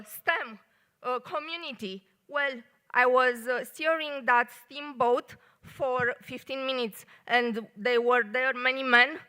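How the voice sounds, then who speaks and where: A woman from Romania with a Romanian accent, an engineer giving a short live talk at a café event, speaking carefully with many pauses. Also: fairly high pitch, pushed and bright, clear, resonant tone. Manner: careful non-native phrasing with many pauses.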